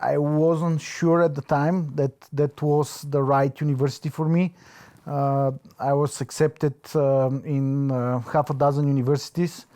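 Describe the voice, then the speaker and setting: A man with a Bulgarian accent, reflecting in an interview, pausing often to search for his words. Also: fairly high pitch, flat delivery, warm tone. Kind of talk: reflective oral history interview.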